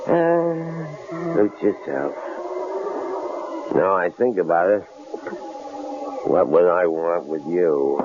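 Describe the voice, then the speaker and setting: Big cold-blooded crook, super low voice that swoops around, mocking and leering, old radio play. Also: male voice, animated, very slow, long pauses, heavily acted. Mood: gloating, dismissive. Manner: leering, mocking, folksy drawl-out phrasing. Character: big, cold-blooded, taunting male thug holding a couple captive. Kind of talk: 1970s radio drama acting. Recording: noisy, muffled old radio broadcast recording.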